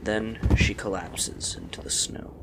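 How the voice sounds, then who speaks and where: A man's voice: fairly low pitch, fairly even intonation, measured pace, heavy background noise. A man reading scripted fantasy story narration as the narrator of an audio drama.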